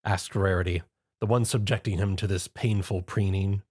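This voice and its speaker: A man narrating a fan story in a lively storytelling manner. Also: low voice, normal speed, husky. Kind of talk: fan fiction reading.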